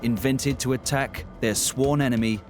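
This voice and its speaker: Documentary narrator: man, medium pitch, measured and formal scripted read over faint music. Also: fairly even intonation.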